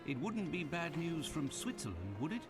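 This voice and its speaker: An actor playing a fussy, talkative doctor companion in a video game. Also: male voice, on the high side, sing-song, moderate pace. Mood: chatty. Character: chatty loyal male doctor companion. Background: game music.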